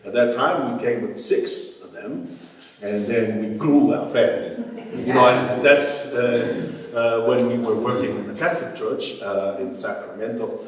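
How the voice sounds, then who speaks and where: A man's voice: mid-range voice, steady tone, measured pace. An older man, born in Tonga and raised in New Zealand, with a New Zealand accent, telling his story to a church group in a rambling, hesitant way full of fillers and chuckles. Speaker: church assembly member sharing his story. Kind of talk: informal testimony to a group. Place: church hall. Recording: echoey church hall, far from the mic.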